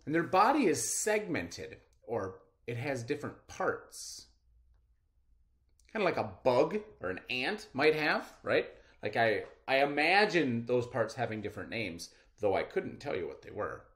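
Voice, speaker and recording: Slow, very animated male teacher. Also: mid-range voice. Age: middle-aged. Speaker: schoolteacher. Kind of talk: children's read-aloud lesson.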